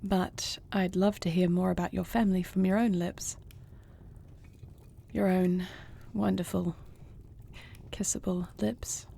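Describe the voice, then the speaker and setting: A woman playing an affectionate, dutiful queen in an intimate fantasy audio roleplay, speaking softly and formally with measured, murmuring diction. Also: fairly low pitch, little pitch movement, creaky, slightly rough, full character voice. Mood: flirtatious, tender. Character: affectionate, dutiful dragon queen, newly wed to a princess.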